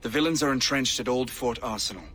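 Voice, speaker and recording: An actor playing a courteous, idealistic colonel in a video game drama, speaking formally and persuasively in a measured, gentlemanly way. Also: male voice, mid-range voice, lightly acted. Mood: urgent, formal. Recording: loud music behind.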